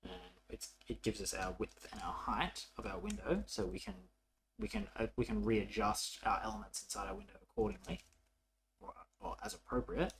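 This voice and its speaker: A man presenting a programming tutorial in a casual, unscripted way, haltingly thinking aloud as he works. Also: lowish voice, very animated, gravelly, fairly bright tone, slight background noise, poor audio. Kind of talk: casual coding walkthrough, unscripted.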